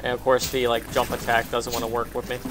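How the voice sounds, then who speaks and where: A man's voice: mid-range voice, monotone, fast talker, noisy background. A man giving casual gameplay commentary as he walks viewers through a video game. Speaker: gamer giving a walkthrough. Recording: game music behind the voice.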